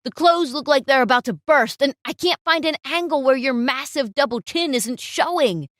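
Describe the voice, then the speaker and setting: A woman voicing a rude, insulting male fashion photographer who shouts and sneers at people. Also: fairly low pitch, lively intonation, normal speed, no room sound, studio quality, voice pushed down, close to an everyday voice. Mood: sneering.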